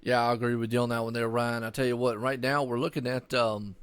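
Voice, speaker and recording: A man's voice: mid-range voice, flat delivery, fast, fairly bright tone, smooth, dry recording. A man co-commentating a sim race in a casual, folksy, rambling way. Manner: folksy, rambling, says "man" and "y'all" often. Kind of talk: casual, chatty live sports commentary.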